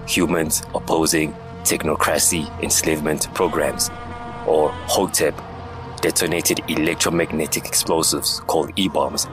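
A man's voice: a bass voice, rough recording. A man giving scripted, dramatic narration for a science fiction audio drama. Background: music.

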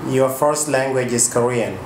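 A man's voice: mid-range voice, normal speed. A man from Kenya at an indoor table, testing a small robot with short commands and simple questions. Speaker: robot demonstrator. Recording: echoey room with noise.

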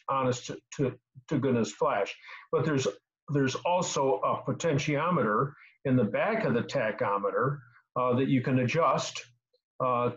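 An older man in his sixties hosts a casual technical question session over a video call. He explains in a halting way, often repeating a word before going on. His pitch is middling and the sound is slightly dull. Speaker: host answering car repair questions. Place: small home room indoors. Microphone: computer mic on a video call.

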